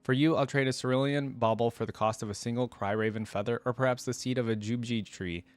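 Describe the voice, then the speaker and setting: A man's voice: mid-range voice, steady tone, quick pace, clear, resonant tone, dry recording, close to an everyday voice. A man reading the part of a friendly gray-bearded merchant hawking gems in a fantasy story. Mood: coaxing.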